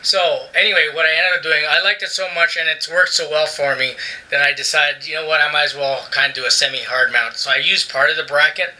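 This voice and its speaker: A man's voice: a little high, steady tone, fairly quick, sharp tone, rough recording. A man casually reviewing his motorcycle helmet headset to camera from a hot indoor room, rambling with lots of fillers and restarts. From Canada. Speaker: motorcycle vlogger.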